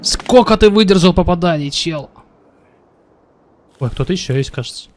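Male streamer in his 30s, slightly high voice, bantering with chat, some noise behind. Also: animated, moderate pace, a little mellow. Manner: joking, talks to chat, puts on broken English and mock greetings. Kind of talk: live game stream commentary. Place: home room at a computer.

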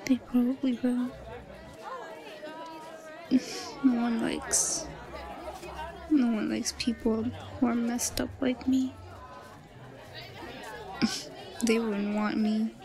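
A woman's voice: a little high, little pitch movement, very slow, long pauses, a crowd in the background. A woman playing a shy, bullied young student with an obsessive streak, in a hesitant, stammering delivery for a roleplay audio drama. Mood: lovesick, self-loathing.

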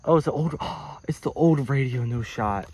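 A man casually vlogging as he explores old airplanes in a rural field, excited, swearing and talking to his viewers on a phone camera. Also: mid-range voice, normal speed, breathy edge, dry recording. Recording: phone audio outdoors with insect noise. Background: insects and crickets outdoors.

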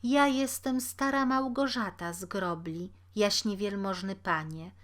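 A woman's voice: medium pitch, a little slow, a bit bright, natural voice. A woman voicing a humble, sad, pious poor old woman in a storybook tale, speaking meekly and deferentially. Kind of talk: storybook character reading.

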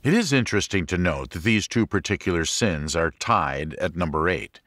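A man reading a scripted audiobook in a measured, deliberate, instructive way. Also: low-pitched, expressive, fairly bright tone, dry recording, clean studio sound. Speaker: audiobook narrator. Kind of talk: scripted audiobook narration of religious self-help.

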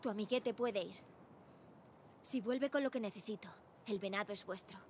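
A woman's voice: medium pitch, normal speed, close to an everyday voice. A woman playing a tough, wary teenage girl survivor in a video game, curt and defiant, with a Castilian Spanish accent. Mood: wary, threatening. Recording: game ambience and noise behind voice.

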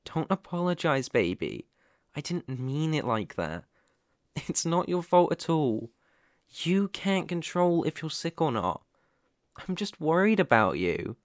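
A man's voice: fairly high pitch, sing-song, unhurried, no echo, studio quality. A man playing a caring, worried boyfriend in an ASMR roleplay, talking in a soothing, tender, reassuring way. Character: caring, worried boyfriend fussing tenderly over sick partner.

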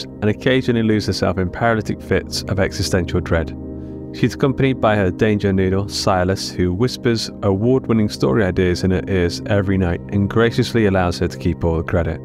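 A man reading a scripted podcast outro with credits as the host. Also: on the low side. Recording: music behind the voice.

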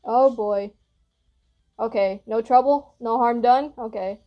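A woman's voice: on the high side, slow pace, highs cut off. A young woman giving casual reaction commentary as she hosts a video, recorded indoors in a small room. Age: young adult.

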